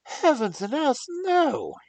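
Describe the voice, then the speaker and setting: Reader playing a storming young lord for a storybook; forceful, high and strained. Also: huge pitch swings, slow, no echo, exaggerated voice. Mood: furious. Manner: loud, outraged, impassioned. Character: passionate young male nobleman, grieving. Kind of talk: audiobook character reading.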